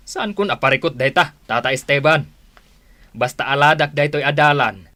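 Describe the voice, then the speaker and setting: An actor with an Ilocano accent playing a greedy, scheming older brother in a radio drama, mocking and laughing. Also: male voice, fairly high pitch, moderate pace, bright, forceful tone. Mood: scornful. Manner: mocking, frequent sneering laughs.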